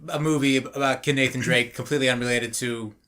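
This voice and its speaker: A man's voice: medium pitch, steady tone, fast, pushed and bright. A man speaking casually as a panelist in a group podcast conversation.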